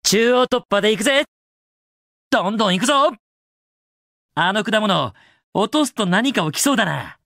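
A man's voice: high voice, lively intonation, moderate pace, creaky, pauses often, fairly bright tone, no echo, heavily acted. A male actor voicing a cocky, daring young cartoon hedgehog hero in a video game, with cool, confident, casual delivery. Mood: observant, cool. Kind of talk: video game voice acting, short in-game lines.

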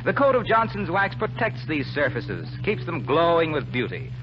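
A man with an American accent delivering a polished, persuasive 1940s radio advertising pitch. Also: huge pitch swings, moderate pace, background noise. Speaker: radio announcer. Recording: muffled 1940s radio recording. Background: music.

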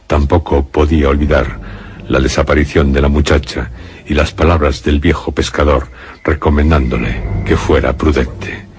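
Very deep-voiced solemn male narrator. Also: slightly rough. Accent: Spain. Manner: solemn, dramatic, deliberate phrasing. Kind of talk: scripted radio suspense narration.

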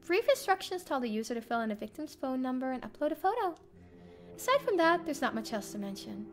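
A high-voiced woman narrates a first-person story in an expressive, theatrical way. Her intonation swings widely as she reads. Music plays softly behind her. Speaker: streamer reading a visual novel aloud. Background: game music.

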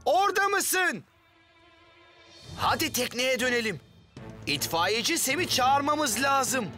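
A man's voice: very high-pitched, sing-song, slow, pushed and bright, soft background music, exaggerated voice. An actor voicing a worried seafaring father in a children's cartoon. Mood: worried, calling out. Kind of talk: animated children's cartoon dub.

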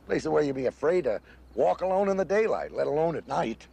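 A man's voice: fairly high pitch, very animated, brisk, vocal fry, dark tone, slight background noise. An actor playing an exasperated American man, griping and talkative with a sarcastic edge, in a television drama. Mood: wry, easygoing. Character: exasperated sarcastic human partner of an angel.

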